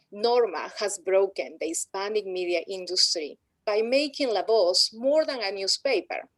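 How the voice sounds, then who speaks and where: A woman's voice: medium pitch, no echo, low-quality recording. Woman moderator reading panel bios. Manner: reads prepared bios, occasional slips.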